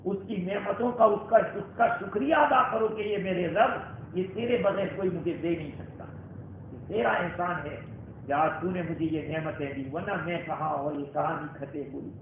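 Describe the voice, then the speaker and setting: High-voiced male preacher, heavy echo. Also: normal speed, narrow, tinny audio. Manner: exhortative, rhetorical questions, swelling and dropping emphasis. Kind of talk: Friday sermon. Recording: band-limited, very echoey hall, faint noise.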